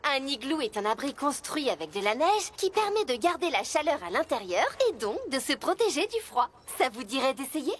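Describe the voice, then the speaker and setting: Amy, a patient mechanic. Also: female voice, sits high, very animated, normal speed, hardly any pauses. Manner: clear, patient, explaining. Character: friendly female mechanic helper, patient teacher. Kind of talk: French children's cartoon dub. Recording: cartoon music behind.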